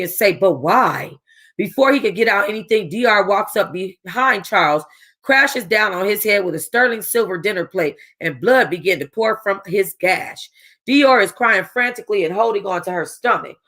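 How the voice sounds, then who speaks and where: A woman reading a first-person novel aloud for a book club, casual and expressive, with a streetwise tone. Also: mid-range voice, fairly quick.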